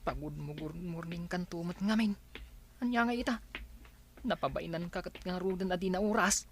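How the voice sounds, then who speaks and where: Low-voiced, cheeky gossiping student, played by a woman. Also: moderate pace, a little hiss or hum, lightly acted. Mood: grumbling. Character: gossipy, cheeky student grumbling about the teacher. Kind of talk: Ilocano radio comedy drama acting.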